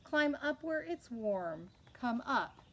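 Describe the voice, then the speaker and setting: A woman's voice: fairly high pitch, very animated, slow pace, fairly bright tone, pitched above the performer's own voice, heavily acted. A woman plays a kindly, eccentric old lady in a children's storybook. She is shy yet chatty and speaks in lilting rhyme, with some noise behind her. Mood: brisk, welcoming. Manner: chatty, rhythmic rhyming speech.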